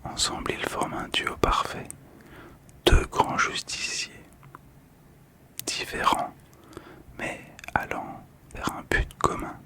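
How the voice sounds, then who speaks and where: A man hosting ASMR in a breathy whisper, speaking gently and soothingly with pauses, close to the microphone. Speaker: ASMR video host. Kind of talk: whispered ASMR card description. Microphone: close ASMR microphone, monitored on headphones.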